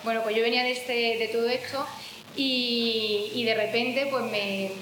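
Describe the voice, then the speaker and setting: Woman, Andalusian accent, a bit high, halting talk; roomy and noisy recording. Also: steady tone, a bit bright. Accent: Andalusian Spanish. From Jaén, Spain. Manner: hesitant, many restarts and fillers. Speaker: speaker presenting a fanzine. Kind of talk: informal public talk. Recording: echoey room with background noise.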